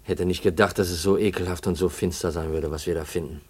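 An earnest young heir in vintage 1950s radio drama. His voice sits a little low, and he speaks directly, with anxious urgency in his questions. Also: steady tone, quick pace, darkish tone, husky. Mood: troubled. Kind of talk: 1950s German radio play acting.

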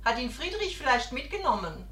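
High, anxious mother, roomy sound. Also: big pitch swings, brisk, sharp tone, full character voice. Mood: searching, worried. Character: anxious middle-class mother of a household, easily alarmed. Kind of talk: German radio play acting.

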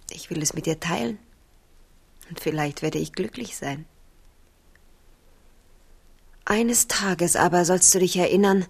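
Eine Schauspielerin spielt im Hörspiel eine stolze, schwermütige junge Königin, ernst und innig. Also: female voice, fairly low pitch, creaky, husky, exaggerated voice. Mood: tender, solemn. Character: proud, melancholy young queen, tender toward her husband. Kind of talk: radio drama acting.

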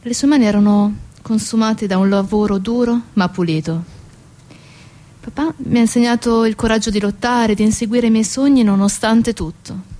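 An actress playing a bitter, grieving daughter in a theatrical monologue, speaking emphatically with deliberate pauses. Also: female voice, on the high side, fairly even intonation, dark tone, lightly acted. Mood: tender, reflective. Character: grieving, bitter daughter of a dead factory worker. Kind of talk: theatrical monologue over guitar. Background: acoustic guitar music.

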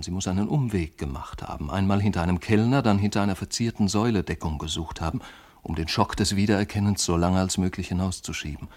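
Low-voiced, yearning, anxious man, measured poetic delivery for literary radio drama. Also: darkish tone. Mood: watchful. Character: anxious yearning man awaiting his beloved friend.

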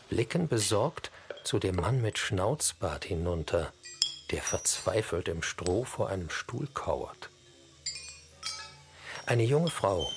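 A man reading scripted scene descriptions for a radio play in a measured, descriptive way. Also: lowish voice. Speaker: narrator. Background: music behind the voice.

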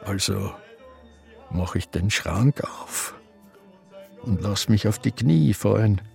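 An actor playing a confused, reminiscing elderly man with an Austrian accent, in a halting radio drama monologue. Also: lowish voice, lively intonation, gravelly, a little mellow, faint music behind, heavily acted. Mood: wistful, confused. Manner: halting, ruminative.

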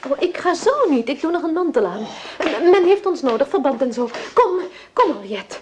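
Vain, high-voiced young society wife. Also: female voice, animated, moderate pace. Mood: brisk, self-important. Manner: theatrical, imperious, airy. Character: vain, flighty young society wife, bossy and self-important. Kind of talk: Dutch radio play acting. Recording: noisy old radio play recording.

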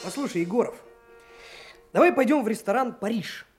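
A cocky young man in a Soviet radio play, with a strikingly high voice. He talks confidently and likes to lecture, as music plays behind him. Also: expressive, fairly quick. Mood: breezy, persuasive. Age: young adult. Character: cocky, self-assured young Komsomol trainee detective. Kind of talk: Soviet radio drama acting.

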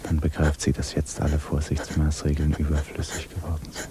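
A man narrating a 1950s radio drama in a hushed, measured, grave and restrained manner. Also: a bass voice, completely flat delivery. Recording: noisy 1950s radio recording.

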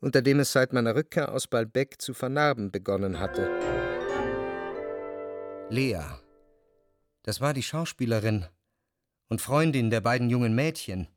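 An actor playing a jealous, brooding narrator who speaks in measured, reflective long sentences in a literary radio drama. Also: male voice, mid-range voice, over loud music, close to an everyday voice. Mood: stricken, recollecting. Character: jealous, brooding male narrator, introspective and anxious.

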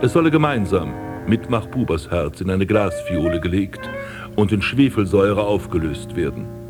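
An actor playing an embittered, melancholy aristocratic prince in a German radio drama, with theatrical, eloquent delivery. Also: male voice, low-pitched, expressive, moderate pace, music in the background. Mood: grimly formal. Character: embittered, melancholy aristocratic prince recalling his wasted life and burial.

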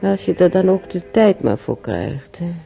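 An actress playing an ailing older woman in a radio drama, reminiscing wistfully about wartime, breathy and halting, often sinking to a whisper. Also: lowish voice, very animated, dark tone, faint music behind, exaggerated voice. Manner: halting, murmuring, repeating herself.

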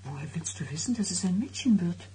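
An actress playing an agitated, suspicious and sarcastic pregnant wife of thirty-nine in a German radio drama, with a biting, questioning delivery. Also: female voice, fairly low pitch, expressive, fast, warm tone, a little hiss or hum, lightly acted. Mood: worried, probing. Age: middle-aged.

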